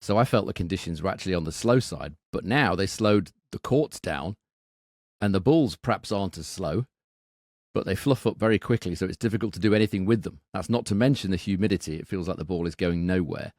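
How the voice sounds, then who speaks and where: Co-host with a very low voice, halting and repetitive, dry recording. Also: male voice, a little mellow, dry recording. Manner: hesitant, repeats words, thinks aloud. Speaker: podcast co-host. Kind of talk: casual betting analysis.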